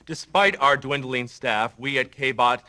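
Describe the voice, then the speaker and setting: An actor playing a local TV news anchor, reading a scripted report in a formal, measured way. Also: male voice, mid-range voice, bright, forceful tone. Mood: solemn, formal. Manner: formal, measured newsreader delivery.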